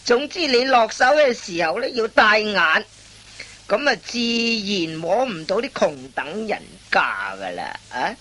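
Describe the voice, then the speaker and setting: A woman playing a veteran master thief, an exasperated mentor who scolds and lectures in drawn-out exclamations in a Cantonese radio comedy. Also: medium pitch, sing-song, fairly bright tone. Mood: grumbling.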